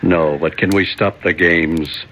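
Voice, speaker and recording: Troubled senator, American, very deep voice, grave, terse, authoritative, vintage radio drama, slight noise. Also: male voice, fairly even intonation, takes it slowly, a bit bright, exaggerated voice. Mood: tense, guarded.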